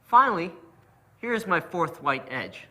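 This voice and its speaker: A man's voice: high voice, big pitch swings, a little slow, pushed and bright. A man explaining a puzzle method as an instructor, patient and methodical in his narration. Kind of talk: step-by-step tutorial narration.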